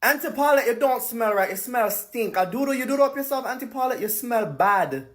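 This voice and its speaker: A man does a comedy bit with a playful, exaggerated, jumpy delivery. His voice runs high for a man and swings wildly up and down. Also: lively intonation, a little fast. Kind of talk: comedy sketch to camera.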